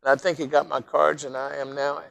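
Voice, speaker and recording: A man's voice: on the high side, level tone, fairly quick, gravelly, fairly bright tone. Folksy older male talk show host. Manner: rambling, folksy, addresses viewers as folks. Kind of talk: local cable interview.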